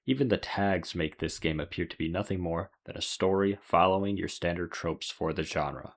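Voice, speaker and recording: A man's voice: low-pitched, moderate pace. A man reading scripted narration as the host of a video essay. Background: music.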